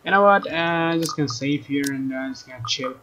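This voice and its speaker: A man's voice: fairly high pitch, brisk, bright, forceful tone, dull audio, slight background noise. A man doing a let's play, reading a visual novel aloud. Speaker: let's player. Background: guitar game music.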